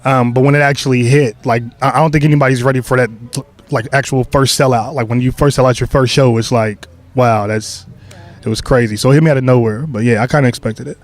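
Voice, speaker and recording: Young male playwright, casual and rambling, filler-heavy, mid-pitched everyday voice. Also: fast talker. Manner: relaxed, loose, full of fillers and restarts. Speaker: playwright and creator of a stage play. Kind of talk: casual interview answers.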